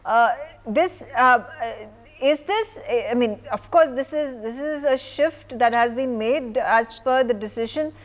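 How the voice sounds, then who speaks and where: A woman's voice: a little high, a little slow. A woman anchoring a live news debate, putting questions in a hesitant, stop-start way.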